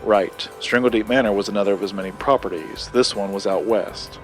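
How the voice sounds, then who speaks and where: An actor playing a formal, guarded paranormal collector and researcher, with precise, measured wording in an audio drama. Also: male voice, fairly low pitch, soft background music, natural voice, rough recording. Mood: measured, reserved. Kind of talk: scripted audio drama dialogue.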